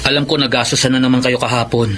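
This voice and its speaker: A man's voice: mid-range voice, little pitch movement, fast talker, fairly bright tone, natural voice. A man playing a young graduate son, polite but easily hurt, in a radio drama. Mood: sulky, polite. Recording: faint background noise.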